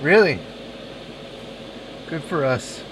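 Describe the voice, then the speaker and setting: Repair streamer, male, relaxed think-aloud banter; noisy room hum underneath. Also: fairly high pitch, slow pace. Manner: thinks aloud, chats with viewers between tasks. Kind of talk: casual live-stream chat while soldering.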